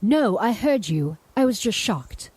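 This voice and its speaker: A woman voicing an earnest, defiant captive heroine with a husky voice in a fantasy audio drama. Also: mid-range voice, big pitch swings, a little slow, a little mellow, no echo. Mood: pleading, shocked.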